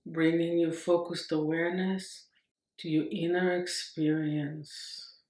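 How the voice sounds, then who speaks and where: A woman leads a guided meditation in a roomy, echoing space. Her delivery is very slow and even, with gentle instructions offered in short, unhurried phrases. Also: low voice. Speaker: meditation teacher.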